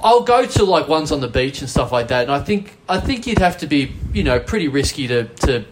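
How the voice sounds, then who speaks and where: A man's voice: on the high side, big pitch swings, a little fast, noisy background. A man with an Australian accent telling a long, rambling anecdote on a casual podcast, swearing freely and poking fun at himself. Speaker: podcast host. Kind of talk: casual storytelling chat.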